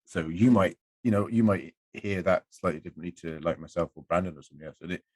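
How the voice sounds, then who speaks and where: Male podcast guest, low husky voice, informal and halting, thinking aloud. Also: darkish tone, no room sound. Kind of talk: casual podcast chat.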